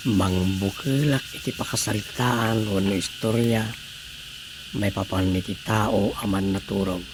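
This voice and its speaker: A man's voice: fairly low pitch, noisy background. A man with an Ilocano accent playing a grumbling village official who mutters and muses to himself in a radio comedy drama.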